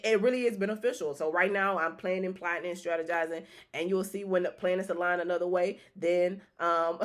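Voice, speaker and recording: A woman's voice: lowish voice, fairly bright tone. Woman rambling through a casual live stream. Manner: rambling and loose, many restarts, casual swearing. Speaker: livestream host reading tarot cards.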